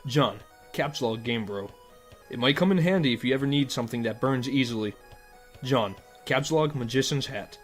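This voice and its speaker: A man reading scripted second-person story narration in a measured, dryly mock-formal way. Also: mid-range voice.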